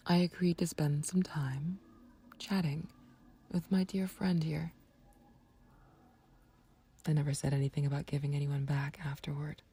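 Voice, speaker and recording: A woman playing a smug, taunting, playfully flirtatious villain in an ASMR roleplay, with a husky, breathy low voice and a teasing, unhurried, condescending manner. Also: warm tone, heavily acted. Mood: smug, threatening. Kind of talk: ASMR roleplay audio drama.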